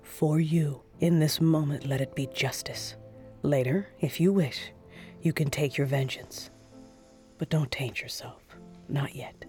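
A woman's voice: sits low, a little slow, a little mellow, faint music behind. A woman acting in an audio drama as a protective aunt, soothing and firm. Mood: calm, firm. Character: steady, protective aunt calming an angry child.

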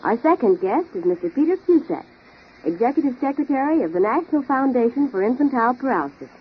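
An actress playing an alert, helpful detective's sidekick in 1930s radio style, crisp and formal. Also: female voice, sits high, sing-song, quick pace. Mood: polite, formal. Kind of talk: 1930s radio acting and announcing. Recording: muffled, noisy 1930s radio recording.

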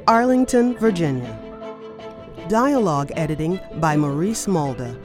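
A woman reading scripted credits as a radio show announcer in a polished, formal manner. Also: lowish voice. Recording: music under the voice.

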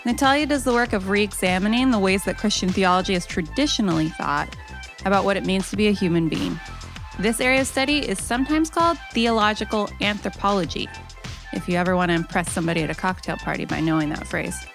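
A woman's voice: mid-range voice, animated, fast talker, faint music behind. A woman host introducing a guest in a friendly, explanatory scripted segment. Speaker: podcast host.

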